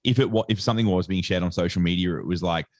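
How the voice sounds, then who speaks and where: A man's voice: low-pitched, fast talker, a little mellow, no echo, clean studio sound. An Australian man talking as a podcast guest in a casual, rambling way, full of "like" and "sort of.". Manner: rambling storyteller, heavy on "like" and "sort of". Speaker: guest, sports nutritionist.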